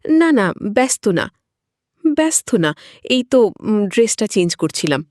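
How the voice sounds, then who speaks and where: A shy young daughter-in-law from a narrated story, as a woman narrator puts her on. She is deferential and pleading, repeats her words, and her pitch moves freely in a dry recording. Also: sing-song, measured pace, a little mellow, no echo. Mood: shy, explaining. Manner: apologetic, pleading, repetitive.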